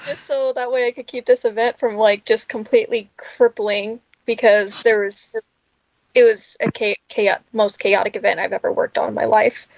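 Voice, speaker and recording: A woman's voice: a little high, fairly even intonation, moderate pace, gravelly, no echo. A woman chatting casually as a guest, informal and a little wry, on slightly lo-fi audio. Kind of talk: casual chat.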